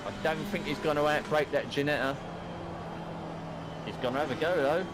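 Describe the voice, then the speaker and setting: A Cockney man in his fifties from East London, commentating a sim race live in a chatty, excitable way, swearing casually. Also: a little high, normal speed, background noise. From East London, England. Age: 50s. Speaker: race broadcast commentator and streamer.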